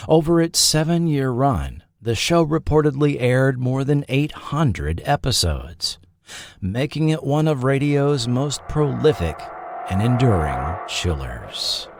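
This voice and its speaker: A man reading a scripted documentary narration as a podcast narrator, in a measured, dramatic storytelling manner. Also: mid-range voice, very animated, vocal fry, dry recording, studio quality.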